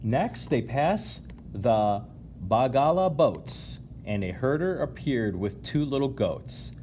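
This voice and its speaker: A man's voice: mid-range voice, animated, slow, a little mellow, a little hiss or hum. A man reading a picture book aloud to young listeners, with casual asides. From United States, Illinois.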